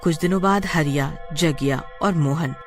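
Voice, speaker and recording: A woman's voice: low-pitched, unhurried, soft background music. A woman narrating a scripted story dramatically.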